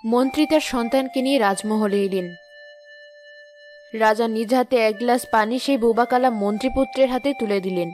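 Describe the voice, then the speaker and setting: A woman's voice: a little high, fairly quick, a little mellow. A woman giving scripted narration for a fairy tale. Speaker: story narrator. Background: background music.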